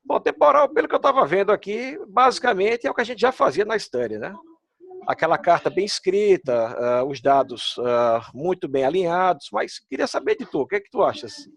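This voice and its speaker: A man's voice: high voice, a little fast, creaky. A man with a Pernambuco Brazilian accent hosting a casual video-call chat, talking chattily and straight to the viewers. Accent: Pernambuco Brazilian Portuguese. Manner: lively, chatty, addresses the viewers directly. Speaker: host.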